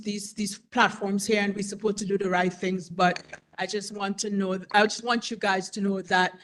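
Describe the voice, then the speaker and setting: A woman in her forties, a business owner, speaking earnestly and conversationally at a community board meeting. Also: medium pitch. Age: 40s. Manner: earnest, conversational, repeats herself. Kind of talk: public comment at a meeting.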